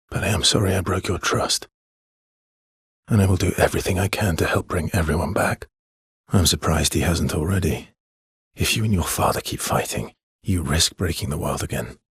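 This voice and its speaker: An actor voicing a weary, gentle male adventurer in a video game, with a husky, breathy voice and measured, understated phrasing. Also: low voice, no echo, studio quality. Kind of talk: video game dialogue lines.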